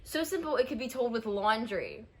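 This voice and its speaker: A woman's voice: on the high side, big pitch swings, moderate pace, a little hiss or hum. A woman voicing an easygoing, sensible child puppet in a children's church puppet show, reassuring and earnest.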